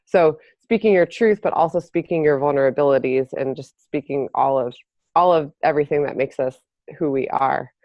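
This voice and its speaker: A woman's voice: low-pitched, moderate pace, no room sound, dull audio. A woman co-hosting a podcast, explaining thoughtfully with frequent ums. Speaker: podcast co-host. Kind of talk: explaining, casual chat.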